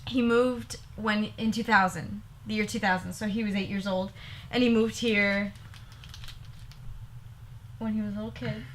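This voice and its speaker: A woman's voice: mid-range voice, slight background noise. A young woman hosting a casual couple Q&A vlog, giggling often and rambling, repeating herself as she talks. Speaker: vlogger.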